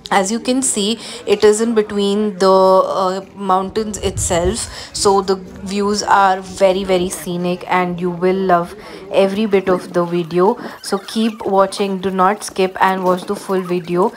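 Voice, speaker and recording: A woman's voice: mid-range voice, little pitch movement, unhurried. A young adult woman with an Indian accent, narrating a travel vlog in a casual way. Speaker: travel vlogger.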